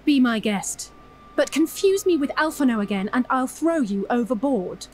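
An actress voicing a fiery, sharp-tongued young elf girl in a video game cutscene, with blunt, formal phrasing. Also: sits high, expressive, normal speed, slight background noise, poor audio. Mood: annoyed.

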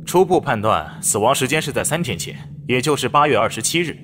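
A man playing a serious police officer giving a formal, measured case briefing in an audio drama. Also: medium pitch, lively intonation, noisy background, natural voice. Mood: grave.

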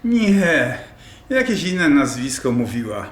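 A man's voice: on the high side, sing-song, takes it slowly. Man acting a blustering, indignant middle-aged father, roomy sound. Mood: fuming. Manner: blustering, defensive. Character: indignant, self-pitying, hot-tempered middle-aged Polish émigré father. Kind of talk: radio drama acting. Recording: roomy sound with faint noise.

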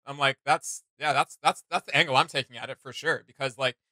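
A man in his thirties hosts a casual reaction video. His voice is mid-pitched and bright, recorded dry. He talks in a rambling, slangy way with plenty of emphasis. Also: fairly even intonation, fairly quick, no room sound. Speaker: reaction channel host. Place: small indoor home studio room.

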